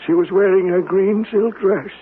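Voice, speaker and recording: An actor playing a vengeful, devout man over ninety in a radio mystery drama, with a solemn, deliberate, fervent delivery. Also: sits high, slightly rough. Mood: solemn insistence. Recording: noisy, tinny old radio broadcast recording.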